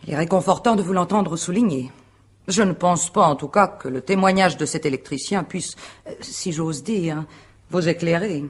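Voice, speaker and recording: A woman playing a defensive, guarded wife under questioning in a French radio mystery play, speaking precisely and formally in a husky voice. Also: lowish voice, normal speed. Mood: composed, guarded. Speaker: actor.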